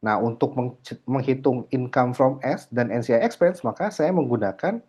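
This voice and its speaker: A man's voice: mid-range voice, lively intonation, normal speed, a little mellow, husky. A man lecturing, walking students through a worked accounting problem on screen, peppering his talk with "nah" and "ya". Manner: explanatory, filler "nah" and "ya", code-switching English terms. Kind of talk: screen-recorded lecture walkthrough. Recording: dull lo-fi mic.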